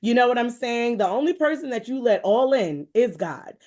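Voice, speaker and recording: A woman podcast host with a fairly high voice. She speaks in an encouraging, emphatic manner, circling back on phrases as she shares faith-based motivation in a conversational style. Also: lively intonation, moderate pace, a little mellow.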